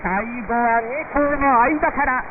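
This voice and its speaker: Male racing commentator, extremely high-pitched, fast race call, noisy shortwave radio. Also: narrow, tinny audio.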